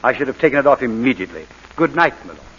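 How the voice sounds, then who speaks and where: A suave schemer with a butler's formal polish in an old radio play. He talks quickly with lively swings of pitch. The vintage recording is muffled and noisy. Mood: obsequious, guarded. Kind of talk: 1940s radio acting.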